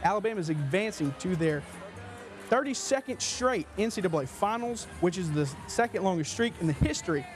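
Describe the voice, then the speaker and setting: A man's voice: sits high, animated, brisk, music in the background. A young man reading a scripted sports newscast as a TV anchor. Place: small TV news studio. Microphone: lapel mic.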